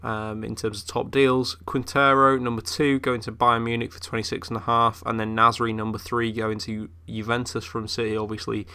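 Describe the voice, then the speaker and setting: A man's voice: medium pitch, little pitch movement, normal speed, few pauses, pushed and bright, clear, resonant tone. A young man who is ill, chatting casually through game commentary with lots of fillers. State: ill, voice a bit off. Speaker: gaming YouTuber.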